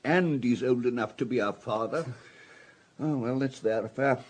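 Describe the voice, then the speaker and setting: An actor playing an obliging but world-weary hotel floor waiter with a husky voice in a radio drama. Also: male voice, medium pitch, moderate pace, natural voice. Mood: weary, gossipy.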